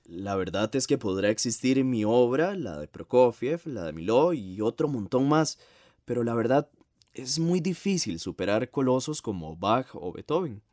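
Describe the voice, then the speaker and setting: A man's voice: mid-range voice, very animated, normal speed, a little mellow, no room sound, studio quality, natural voice. A man playing a gracious, modest 1950s composer in a radio theatre interview, with a Costa Rican accent and a formal, reflective manner.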